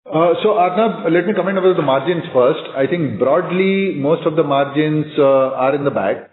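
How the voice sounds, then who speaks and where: A man speaking as a company executive on an earnings call, in a measured but halting business style. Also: on the high side. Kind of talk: measured business presentation and answers. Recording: echoey, narrow conference phone line.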